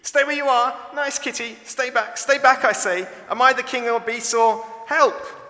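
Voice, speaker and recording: Man putting on a cocky, high-pitched boastful little monkey. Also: fairly even intonation, normal speed, sharp tone. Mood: frightened. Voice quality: roaring, turning squeaky when scared. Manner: bragging, showy. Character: boastful cocky young male monkey playing at being a lion. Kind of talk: children's storybook read aloud. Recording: echoey hall with long reverb.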